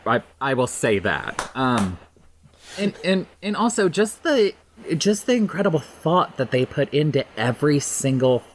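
A podcast guest chatting casually, enthusiastic and halting, often repeating words for emphasis. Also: mid-range voice, slight background noise.

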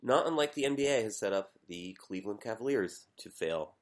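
A man's voice: lowish voice, animated, fairly quick. A man co-hosting a podcast, chatting casually and rambling through his commentary with a self-deprecating edge. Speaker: podcast co-host. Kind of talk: casual chat.

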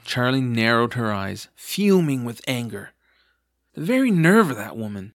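A man's voice: mid-range voice, very animated, measured pace. Male audio drama narrator over music.

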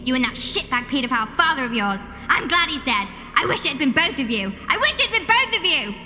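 A man voicing a bitter, scolding mother in a comic fairy tale, in a shrill voice. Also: animated, a little fast, fairly bright tone, a little hiss or hum. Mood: furious.

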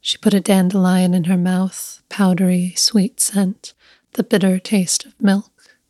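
Even-toned woman narrating a story. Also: fairly low pitch, unhurried, dry recording, studio quality. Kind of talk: scripted literary story narration.

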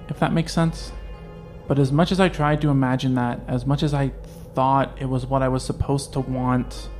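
A man playing an anxious young man in a fan audio drama, talking in a halting, rambling, self-questioning way. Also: medium pitch, a little mellow, lightly acted. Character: anxious young man facing his identity.

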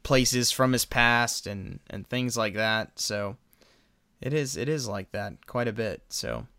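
A man's voice: medium pitch, animated, slow pace, bright, forceful tone, clean tone, dry recording, clean studio sound. A man hosting a podcast in a casual, conversational review style. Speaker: podcast host.

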